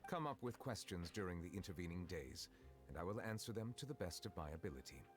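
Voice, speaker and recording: An actor playing a formal, composed male dignitary in a video game, speaking in a measured, reserved and courteous way. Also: sits low, animated. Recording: music behind the voice.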